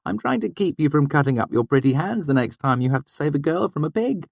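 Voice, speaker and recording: A man's voice: on the high side, expressive, fast talker, creaky, no echo, studio quality, voice pushed up. A man voicing a sly, practical young woman in an audiobook, with a wry, teasing manner. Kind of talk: audiobook character voice.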